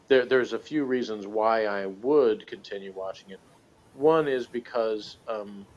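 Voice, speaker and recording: A man with a fairly low voice chats casually about shows as a co-host. He rambles hesitantly, backing up to rephrase. The recording is somewhat muffled and lo-fi. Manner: hesitant, rambling, self-correcting. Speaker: podcast co-host reviewing TV shows. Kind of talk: casual chat. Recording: dull lo-fi audio.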